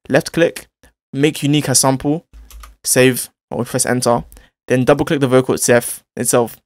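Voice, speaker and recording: A man's voice: medium pitch, dry recording, clean studio sound. A man hosting a music production tutorial, explaining steps informally and repeating phrases as he demonstrates. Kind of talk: casual software tutorial, step-by-step instruction.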